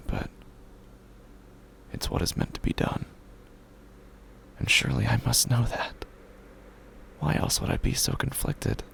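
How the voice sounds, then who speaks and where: An actor playing a grieving, haunted widower who is slowly unraveling, in a halting, brooding horror audio drama monologue with a gravelly, breathy voice. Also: male voice, lowish voice, slight background noise, full character voice. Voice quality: gravelly, breathy, often dropping to a whisper. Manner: halting, brooding, with long pauses.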